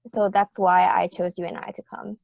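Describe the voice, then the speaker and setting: A woman's voice: fairly low pitch, flat delivery, normal speed, gravelly, darkish tone, no echo. Young Vietnamese-accented woman, student panelist. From Hanoi, Vietnam. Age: early 20s. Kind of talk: informal panel answers.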